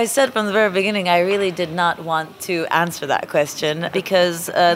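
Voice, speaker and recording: Low-voiced woman answering at length. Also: slight background noise. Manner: earnest, thoughtful, building arguments at length. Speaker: design week director. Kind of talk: interview answers.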